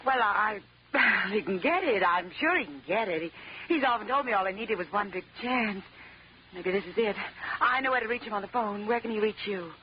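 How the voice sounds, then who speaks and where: An actress playing a flighty, gushing rich society wife in a 1940s radio drama, with a breathy, affected drawl. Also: female voice, medium pitch, lively intonation, a little fast, background noise, lightly acted. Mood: eager. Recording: muffled old radio broadcast.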